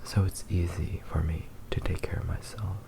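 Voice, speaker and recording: A man playing a possessive, devoted young fox spirit lover in a breathy, soothing whispered ASMR roleplay. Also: sits low, flat delivery. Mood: devoted, adoring. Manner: slow, tender, soothing, intimate.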